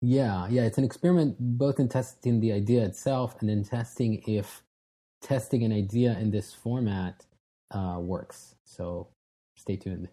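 A man in his thirties from Israel, a guest telling his story in a relaxed, casual way with frequent restarts. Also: fairly low pitch, unhurried, breathy edge. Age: 30s. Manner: relaxed, frequent restarts and fillers, self-deprecating. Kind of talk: casual interview talk.

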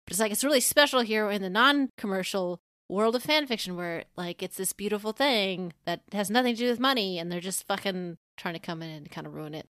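Casual woman podcaster, crisp close sound. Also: mid-range voice, animated, fairly quick, hardly any pauses, a bit bright, no room sound. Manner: informal, frequent swearing. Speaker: podcast co-host. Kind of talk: casual opinionated discussion.

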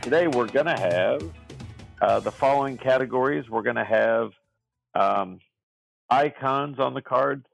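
Gravelly podcast co-host. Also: male voice, mid-range voice, unhurried, music in the background. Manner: rambling, lots of fillers and restarts. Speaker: podcast co-host running trivia. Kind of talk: casual podcast chat.

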